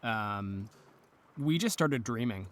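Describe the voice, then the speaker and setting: A man's voice: fairly low pitch, animated, takes it slowly, a bit bright. A man who produces audio drama, talking casually in an interview.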